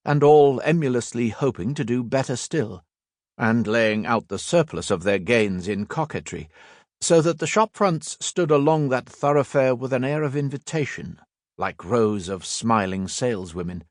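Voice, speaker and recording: A man reading a classic novel aloud as an audiobook narrator, with a measured, expressive storytelling delivery. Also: mid-range voice, no echo, clean studio sound. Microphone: close studio mic.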